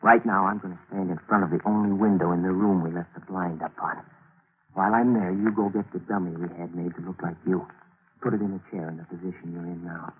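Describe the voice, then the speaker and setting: An actor in an old-time radio thriller playing a cold, scheming murderer, terse and commanding. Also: male voice, low voice, steady tone, fast talker, husky. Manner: terse, commanding, muttering to himself. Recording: noisy, tinny old radio broadcast recording.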